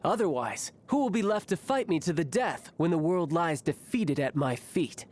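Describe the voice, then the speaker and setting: A man's voice: a little high, lively intonation, normal speed, a little mellow, natural voice. An actor voicing a cocky, playful, battle-hungry young male warrior for a video game, with a breezy, boastful, theatrical delivery. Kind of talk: video game character voice lines. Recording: music behind the voice.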